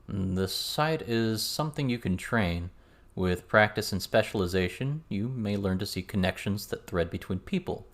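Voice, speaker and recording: A man voicing a calm, patient male mentor who explains deliberately in an audiobook. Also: lowish voice.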